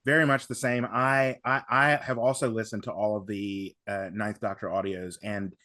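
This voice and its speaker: A man's voice: on the low side, flat delivery, moderate pace, sharp tone, dry recording. Rambling, enthusiastic man, dry sound. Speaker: podcast guest. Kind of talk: casual, enthusiastic fan chat.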